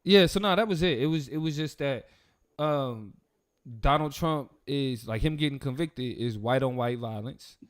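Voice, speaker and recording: A man co-hosting a casual political talk show. He speaks in a relaxed, slangy way, full of fillers and quick asides. His voice is mid-pitched for a man. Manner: laid-back, slangy, many fillers. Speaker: podcast co-host.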